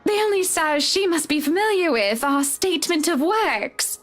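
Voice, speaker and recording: A wealthy, self-assured wife in a visual novel. Her voice is very high, with a brisk, chatty, teasing delivery over background music. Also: expressive.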